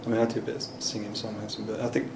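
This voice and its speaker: A man interviewing someone casually, with rambling, halting questions. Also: fairly low pitch, level tone, darkish tone. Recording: echoey room, clear background noise.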